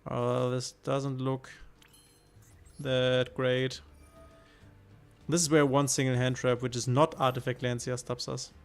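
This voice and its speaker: German streamer, male, flat gravelly delivery, faint music underneath, musing over plays. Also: mid-range voice, slow, long pauses. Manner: thinks aloud, hesitant, reacts to chat. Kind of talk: casual gameplay commentary.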